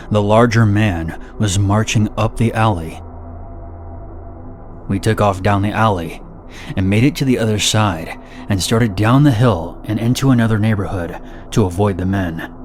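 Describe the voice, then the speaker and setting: A man reading first-person horror stories in a steady, measured, somber narration. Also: on the low side, faint music behind.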